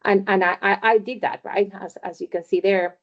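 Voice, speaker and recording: A woman's voice: medium pitch, fairly even intonation, normal speed, dull audio. A middle-aged woman gives a conversational career talk on a video call, with many fillers and "right?" tags. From Venezuela. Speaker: webinar panelist.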